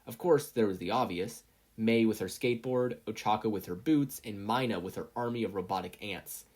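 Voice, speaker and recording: A story narrator reading scripted fiction in an expressive storytelling manner. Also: medium pitch, normal speed. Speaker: podfic reader and narrator.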